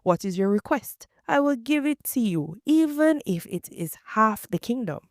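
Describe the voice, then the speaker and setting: A woman's voice: on the high side, sing-song, normal speed, no echo, studio quality, voice pushed up. A woman reading the offers of an indulgent king, lifting her tone on his lines. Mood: gracious. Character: generous king, man, grand and indulgent.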